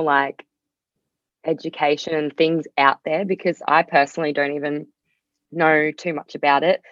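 Young aussie woman on a podcast, lowish voice, chatty and open, bit muffled dry audio. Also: no echo. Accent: Australian. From Australia. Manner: candid, rambling with frequent "like". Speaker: podcast guest, singer-songwriter. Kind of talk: casual conversational interview.

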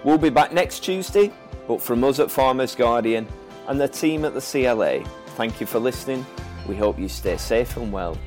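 A man's voice: medium pitch, animated, moderate pace, music in the background. A man co-hosting a podcast, reading the sign-off in a friendly, polished way. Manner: friendly, polished outro delivery. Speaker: podcast co-host.

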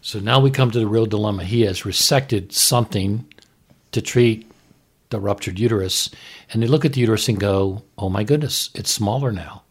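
A man's voice: lowish voice, normal speed, husky. A man speaking as a doctor and co-host, explaining medical matters conversationally in plain terms and posing prompting questions.

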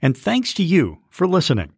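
A man's voice: a little high, wildly swooping pitch, creaky, a little mellow, breathy edge, no room sound. A man hosting an interview podcast in a campus broadcast studio, friendly and encouraging. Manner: friendly, encouraging, quick to laugh. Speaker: podcast host. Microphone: studio mic.

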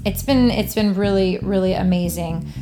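Woman, mid pitch, upbeat, enthusiastic chat, guitar music behind. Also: moderate pace. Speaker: podcast co-host. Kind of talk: casual faith-based chat.

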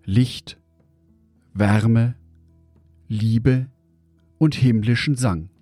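Slow-paced man reading a devotional, dry sound. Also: medium pitch, warm tone, no echo, soft background music. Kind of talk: scripted devotional narration.

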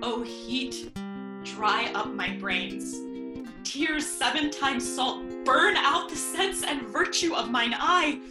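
A woman's voice: high-pitched, lively intonation, slow, reverberant, loud music behind the voice. A woman playing a hot-tempered, grieving young nobleman in a Shakespearean audio drama, declaiming vengefully. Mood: anguished.

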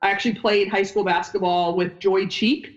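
A woman's voice: mid-range voice, little pitch movement, normal speed, lo-fi sound. A woman in her thirties who grew up in upstate New York, a former basketball player and coach chatting as a podcast guest in a rambling way. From upstate New York, United States. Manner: chatty, rambling, wanders off mid-sentence. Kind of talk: casual podcast interview.

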